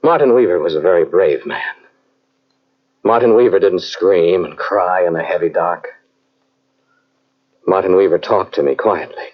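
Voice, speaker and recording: An actor playing a haunted scientist in an old-time radio drama, telling of a terrifying ordeal in a dramatic, halting, brooding way. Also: male voice, deep voice. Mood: grave, uneasy. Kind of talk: old-time radio drama acting, first-person narration. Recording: dull old cassette radio recording, music behind.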